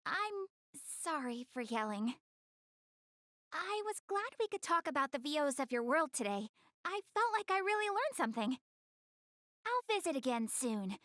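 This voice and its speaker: A woman's voice: high voice, sing-song, normal speed, pauses often, a bit bright, no echo, clean studio sound. A woman voicing a shy, prideful young goddess girl in an English-dubbed anime game, flustered and earnest but a little haughty.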